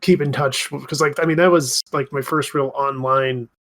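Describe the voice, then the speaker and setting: A man's voice: mid-range voice, fairly even intonation, normal speed, fairly bright tone. A man co-hosting a podcast, chatting informally among friends with frequent "like" in his speech. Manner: informal, says "like" often. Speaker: podcast co-host. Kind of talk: casual chat among friends.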